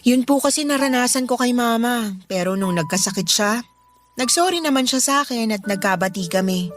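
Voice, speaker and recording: A woman's voice: on the high side, expressive, a little fast, faint music behind, lightly acted. A woman playing a sensitive, grateful young woman in a Filipino radio drama, respectful and emotional. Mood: heartfelt. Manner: soft-hearted, respectful, emotional.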